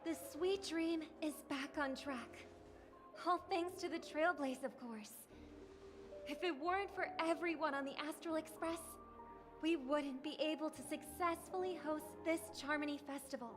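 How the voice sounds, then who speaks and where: A woman's voice: high-pitched, normal speed, close to an everyday voice. A woman playing a poised ceremonial speaker. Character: gracious young woman giving a ceremonial speech. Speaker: festival speaker. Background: game music.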